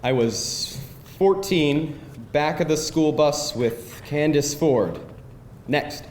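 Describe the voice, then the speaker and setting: Guy acting a cocky teasing dude w/ high lively voice, bossy quizmaster thing, live stage read in an echoey room. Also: slow, a little hiss or hum. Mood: cocky, matter-of-fact. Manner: bossy, teasing game-show delivery. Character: cocky, playful man quizzing his date like a mock attorney. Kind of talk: live screenplay table read. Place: indoor room before a live audience.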